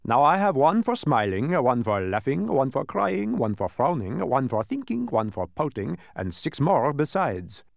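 A man voicing a fussy, pedantic, excitable dodecahedron creature in a storybook, with an emphatic, scolding manner. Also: mid-range voice, measured pace, vocal fry, few pauses, dry recording, clean studio sound, pitched above the performer's own voice. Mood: brisk, boastful. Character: fussy, exacting many-faced dodecahedron, male, excitable.

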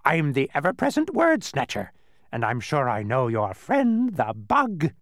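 Storybook villain-pest: a filthy old bird, high shrieking, wildly swooping, haughty and gleefully pedantic. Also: male voice, wildly swooping pitch, a little slow, dry recording, studio quality, full character voice. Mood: gleeful cackling.

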